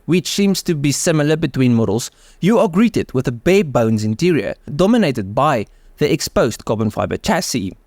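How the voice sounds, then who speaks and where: Lively South African man narrating cars. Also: medium pitch, no room sound, studio quality. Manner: enthusiastic, with offhand conversational asides. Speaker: car channel host. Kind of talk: scripted car video voice-over with casual asides.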